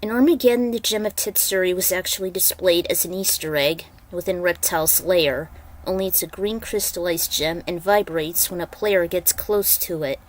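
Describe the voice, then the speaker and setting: A woman's voice: on the low side, normal speed, rough recording. Woman narrating her own dramatic story. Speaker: fan fiction author and narrator. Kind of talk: dramatic story reading with casual author's notes.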